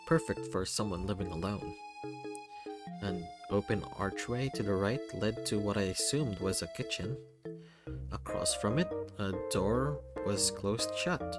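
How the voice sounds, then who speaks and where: A narrator reading a first-person visual novel story in a measured, unhurried way. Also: deep voice. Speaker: narrator and game reader.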